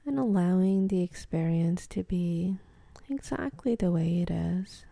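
A woman leading a guided meditation in a soft, calm, half-whispered voice with long pauses between phrases. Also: lowish voice, creaky, no room sound.

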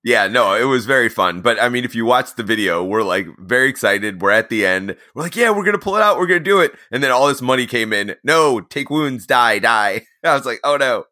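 A man co-hosting a casual podcast while stuffy with a cold, relaxed and wry. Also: medium pitch, brisk, hardly any pauses, no room sound, studio quality. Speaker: podcast co-host.

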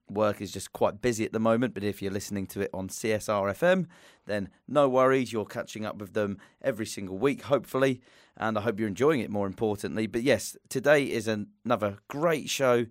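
A man's voice: on the low side, no echo, studio quality. A man hosting a podcast interview, chatty and a little self-deprecating. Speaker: podcast host. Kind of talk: casual interview chat.

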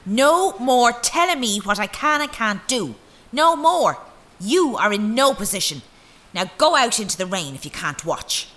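Young Irish daughter, defiant, blunt, exasperated, piercing edge, very animated intonation, audio drama. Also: female voice, fairly high pitch, measured pace. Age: young adult.

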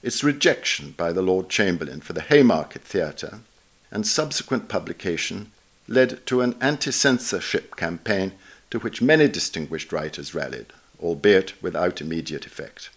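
A man narrating a written essay aloud in a measured, formal way with careful enunciation. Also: deep voice, animated. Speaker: narrator reading an article aloud. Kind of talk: scripted narration of a literary essay.

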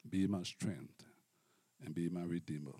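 A middle-aged man with a Liberian accent, preaching and giving testimony to a congregation in deliberate, devout, formal phrasing. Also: low voice, steady tone, mellow, no echo. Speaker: guest preacher. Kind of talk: personal testimony and sermon to a congregation.

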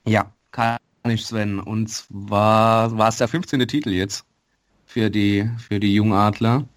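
A man's voice: lowish voice, fairly even intonation, takes it slowly, no room sound, clean studio sound. A man co-hosting a casual sports podcast, matter-of-fact and hesitant, with many fillers. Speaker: podcast co-host.

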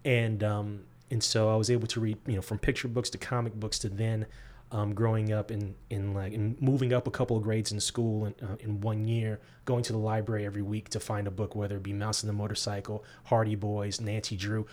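Soft-spoken man, low-pitched, thoughtful. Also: little pitch movement. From born in Georgia, grew up in the US Midwest. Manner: thoughtful, frequent "um" pauses. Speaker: guest author. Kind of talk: casual interview answers.

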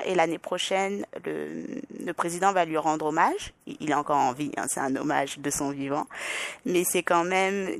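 Gravelly low-voiced Parisian French woman. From Île-de-France, France. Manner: thoughtful, with frequent restarts and hesitations. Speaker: podcast guest. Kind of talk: reflective personal interview.

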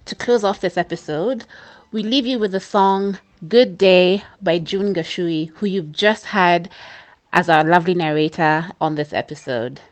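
A woman's voice: on the low side, unhurried, dry recording. A woman hosting a podcast, reading scripted credits. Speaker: podcast host and producer.

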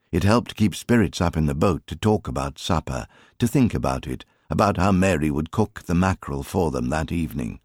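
A man reads a story as an audiobook narrator. His voice is very deep and husky, with wide, expressive swings in intonation. It is recorded dry and close. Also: no room sound.

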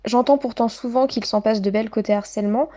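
A woman's voice: on the high side, fast talker, mellow. A woman in her late twenties giving articulate, literary, self-deprecating personal testimony as a guest in a radio interview.

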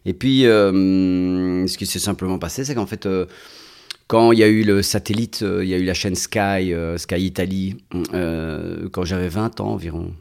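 A Belgian man in his forties with a low, deep voice. He talks in a relaxed, chatty way, restarting his phrases often and dropping in a steady "ouais". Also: moderate pace, creaky. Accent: Belgian French. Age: 40s. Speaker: guest. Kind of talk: casual chat.

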